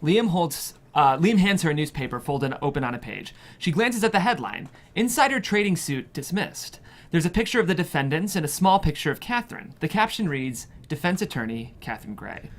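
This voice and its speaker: A narrator reading screenplay stage directions in an expressive, measured way at a table read. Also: medium pitch.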